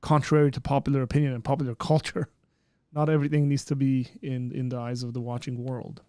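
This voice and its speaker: A man's voice: medium pitch, flat delivery, quick pace, dark tone, clean tone, no echo, studio quality. A man in his thirties hosting a live stream in casual chat, rambling with many fillers and restarts. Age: 30s. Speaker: host of a live birthday stream. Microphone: streaming setup with green screen.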